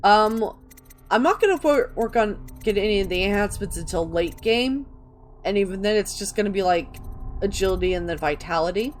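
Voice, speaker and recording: A woman hosts a gaming video in a mid-pitched voice. She reads game books and chats about her play, with music playing behind her. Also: expressive, a little fast. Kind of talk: reading game books aloud and casual gameplay commentary.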